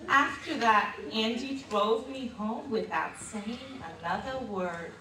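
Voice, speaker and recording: An actress playing a reticent, embarrassed old lady reminiscing about her youth in a theatrical stage monologue, hesitant and confiding with dramatic pauses. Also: on the high side, pushed and bright. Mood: wistful, embarrassed. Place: live stage performance in a hall. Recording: distant mic in echoey room, noisy.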